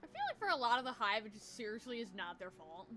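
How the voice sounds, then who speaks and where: A woman's voice: on the high side, sing-song, fast talker, slight background noise. A woman gaming streamer giving casual, off-the-cuff playthrough commentary. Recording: loud game music behind.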